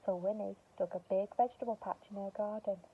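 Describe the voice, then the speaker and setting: A woman's voice: medium pitch, fairly even intonation, a little fast, husky, narrow, tinny audio. A woman speaking to young children as a teacher and story reader, explaining simply, with questions and emphasis. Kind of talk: speaking to young children, reading a picture book.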